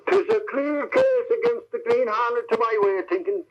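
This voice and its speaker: Tough irish newshound in old radio serial, high voice all over the place, muffled recording. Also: male voice, wildly swooping pitch, moderate pace, heavily acted. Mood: excited, emphatic. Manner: colourful, slangy, emphatic. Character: brash Irish newspaper reporter, tough and folksy. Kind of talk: old-time radio drama acting.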